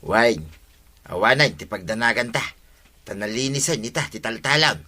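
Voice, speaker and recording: A man's voice: mid-range voice, expressive, normal speed, sharp tone. A man with an Ilocano accent acting a brash, chummy villager in a comedy radio drama. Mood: jovial.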